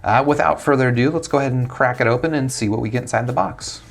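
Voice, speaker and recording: A man's voice: medium pitch, fast, creaky, soft background music. A man in his thirties with an American accent, giving a casual unboxing review as a tech reviewer on a lapel mic.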